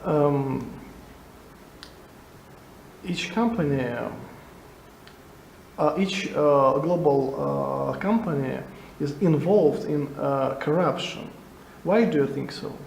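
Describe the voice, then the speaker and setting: A man interviewing a guest, asking questions slowly and haltingly with many restarts. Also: a little high, vocal fry, a little mellow. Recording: echoey room, faint noise.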